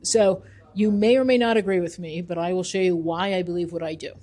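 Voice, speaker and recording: A woman's voice: on the low side, steady tone, brisk, warm tone. A middle-aged woman, an author and researcher, answering interview questions conversationally in an emphatic, opinionated, explanatory manner.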